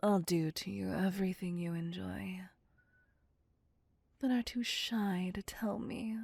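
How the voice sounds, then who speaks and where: A woman's voice: medium pitch, darkish tone, dry recording. A woman voicing a possessive, dominant vampire seductress in an intimate ASMR roleplay, teasing and commanding as she drifts into whispers. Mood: teasing, sultry. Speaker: voice actor.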